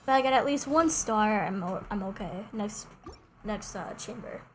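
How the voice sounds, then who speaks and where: A woman's voice: mid-range voice, expressive, normal speed. A woman streamer giving casual commentary while playing a game. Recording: clear background noise over game audio. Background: video game sounds.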